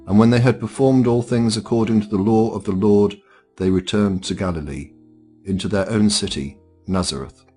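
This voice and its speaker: A man's voice: fairly low pitch, normal speed, mellow, soft background music. A man reading scripture aloud as formal narration. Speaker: Bible reader.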